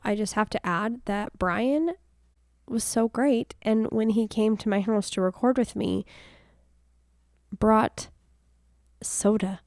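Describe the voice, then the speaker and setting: A woman hosting a podcast from her home, chatty and upbeat, her voice a little raspy after a cold. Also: medium pitch, darkish tone, dry recording, clean studio sound. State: recovering from a cold. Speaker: podcast host. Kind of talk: casual podcast chat.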